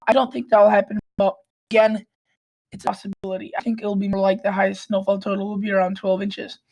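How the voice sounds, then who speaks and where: A woman with a raspy voice, hoarse from a cold, walking through weather models in a casual forecast with restarts and throat clearing. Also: mid-range voice, flat delivery, fast talker, darkish tone, lo-fi sound. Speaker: weather vlogger.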